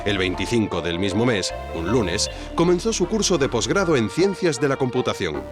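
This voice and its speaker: A man's voice: medium pitch, very animated, moderate pace, over music. A man narrating a scripted documentary-style story, wryly addressing the listener directly. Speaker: narrator and host.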